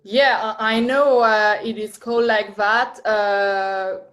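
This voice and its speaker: A woman's voice: mid-range voice, fairly even intonation, slow pace, fairly bright tone. Hesitant French-accented woman. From France. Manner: hesitant, many uhs and repeated words. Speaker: guest French teacher.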